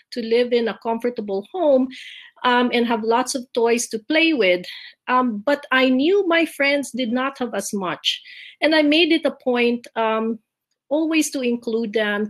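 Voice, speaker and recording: A middle-aged woman from the Philippines speaks in a slightly high voice, pausing often on ums. She sounds reflective and sincere, as if talking over a video call from home. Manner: reflective, many ums and restarts. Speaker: leadership award speaker. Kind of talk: personal life story talk. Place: home, virtual event.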